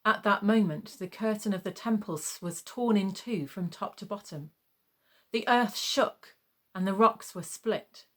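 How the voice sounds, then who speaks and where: A woman reading a scripted gospel narration in a measured, solemn manner. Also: mid-range voice, fairly bright tone.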